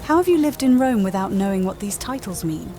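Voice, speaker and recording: A woman's voice: mid-range voice, big pitch swings, fairly quick, mellow, slight background noise. Poised young Roman noblewoman. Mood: curious. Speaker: protagonist of a role-playing game. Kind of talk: scripted game dialogue.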